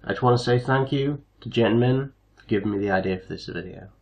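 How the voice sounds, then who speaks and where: A man hosting a casual software tutorial, explaining things step by step. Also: mid-range voice, brisk, muffled recording. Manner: step-by-step instructional. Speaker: tutorial host.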